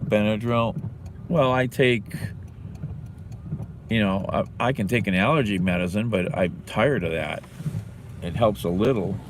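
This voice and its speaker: A man with a fairly low voice talks in a loose, rambling way, stopping and restarting, with a stuffed-up nose and a scratchy throat. He curses offhandedly as he chats. Street and traffic noise come through clearly around him outdoors. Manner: rambling, halting, swears casually. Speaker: vlogger. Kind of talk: casual chat with viewers. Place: outdoors in a city. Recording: noisy outdoor city recording.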